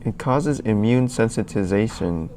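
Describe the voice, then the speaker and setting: A man's voice: on the low side, unhurried, warm tone, faint music behind. A man hosting a live stream, chatting casually and rambling as he answers questions from chat, a little sniffly. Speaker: livestream host.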